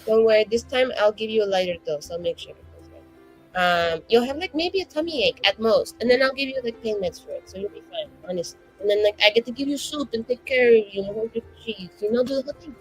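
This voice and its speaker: A woman's voice: fairly high pitch, lively intonation, quick pace, soft background music, natural voice, rough recording. A woman voicing a flamboyant, chatty young female mage, rambling and full of fillers. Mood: fussing.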